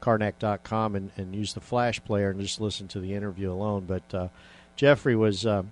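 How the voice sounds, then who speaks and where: A man's voice: lowish voice, steady tone, normal speed. A man hosting a casual radio talk show, rambling with many fillers and restarts.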